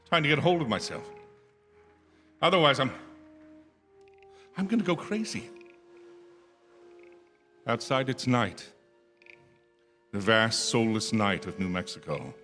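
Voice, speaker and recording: A man's voice: fairly low pitch, a bit bright. A man acting a haunted, desperate radio-drama traveler, echoing. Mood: haunted dread. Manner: halting, stammering when distressed. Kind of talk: radio drama acting, first-person narration and dialogue. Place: theatre stage. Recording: live theatre reverb, music underneath.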